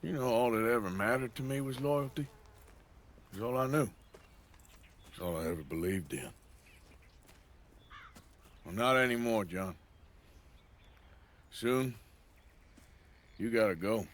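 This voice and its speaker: An actor playing a weary, protective middle-aged outlaw in a video game, grave and plain-spoken, with an American Western drawl. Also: male voice, mid-range voice, lively intonation, measured pace, long pauses, close to an everyday voice. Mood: grave, urgent. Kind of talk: dramatic video game acting.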